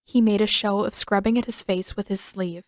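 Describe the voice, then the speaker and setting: A woman narrates fiction from a script. Her voice has an average female pitch. The recording is dry, with hardly any room sound. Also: fairly even intonation, normal speed, no echo. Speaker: audiobook narrator.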